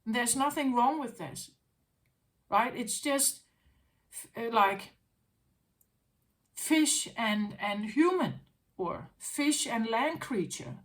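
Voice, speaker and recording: A woman's voice: on the high side, lively intonation, slow, pauses often. A woman talking as a tarot reader in an unscripted spiritual monologue, musing aloud, repeating her phrases and often checking in with "right?".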